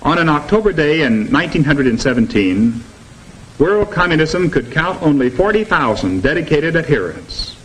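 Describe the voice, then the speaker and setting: A man's voice: on the high side, sing-song, normal speed. A man lecturing formally and emphatically as a teacher in a 1950s educational film, on a noisy old soundtrack. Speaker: teacher leading a classroom discussion.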